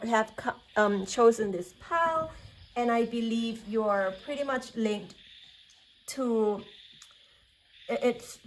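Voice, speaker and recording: Slow, soothing Thai-native woman reader. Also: on the high side, low-quality recording. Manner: slow, searching, soothing, with many fillers and restarts. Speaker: tarot card reader. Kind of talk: spiritual pick-a-card reading.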